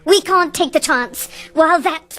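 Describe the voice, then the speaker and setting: A woman's voice: very high voice, a little slow, pushed and bright, heavily acted. A woman playing a cute, spoiled, cruel princess in a video game, with a commanding, mock-sweet manner. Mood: imperious, impatient. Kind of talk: video game cutscene acting.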